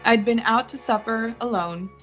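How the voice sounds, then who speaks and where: A woman's voice: fairly high pitch, normal speed, a bit bright, close to an everyday voice. A young woman playing an anxious, lovestruck office worker in a 1940s-style suspense radio drama, dramatic and pleading. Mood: tense recounting. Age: young adult. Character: anxious lovestruck female office worker narrating her ordeal. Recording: dull lo-fi audio, music behind.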